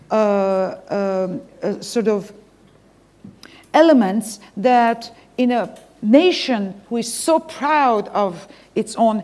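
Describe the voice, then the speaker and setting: A woman from Lebanon interviewing a guest live, speaking in an earnest, reflective way and pausing to search for words. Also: fairly high pitch, a little mellow.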